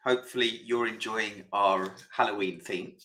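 A man co-hosting a podcast in a relaxed, chatty way, with frequent "uh"s. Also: lowish voice, moderate pace, reverberant, a little hiss or hum. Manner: relaxed, lots of fillers. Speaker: podcast co-host. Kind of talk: casual podcast chat.

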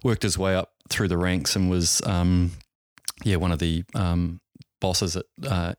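A New man in his fifties with a very low, deep voice, recalling his past in a loose, rambling manner. He talks casually as a podcast guest, pausing often on um and uh. The sound is close and dry. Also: level tone, dry recording. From New Zealand. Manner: rambling, halting, heavy with um and uh. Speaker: guest, helicopter pilot. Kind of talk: casual interview storytelling.

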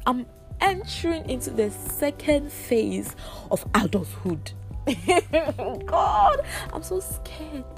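A woman, hosting a casual solo podcast in a chatty, confiding way, her voice raspy from a cold. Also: medium pitch. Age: 20s. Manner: chatty, confiding, laughs often. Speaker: podcast host.

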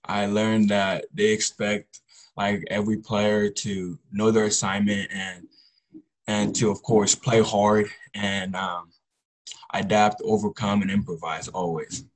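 A teenage male athlete answers interview questions casually. His voice is fairly low and very flat in melody, with little rise or fall as he talks. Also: takes it slowly, poor audio. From Congo, later the US Pacific Northwest. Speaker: high school football player, podcast guest.

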